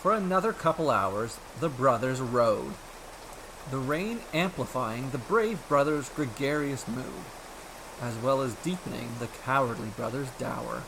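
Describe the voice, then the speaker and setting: A man tells a scripted myth in a dramatic storytelling style. His phrasing is slow, measured and deliberate, and his pitch is lively and varied. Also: background noise.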